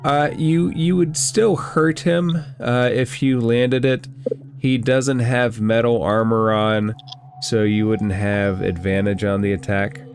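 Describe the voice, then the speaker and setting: A man's voice: medium pitch, steady tone, slow pace, darkish tone, a little hiss or hum. A man acting as game master, narrating and explaining rules in a conversational way during a tabletop role-playing game.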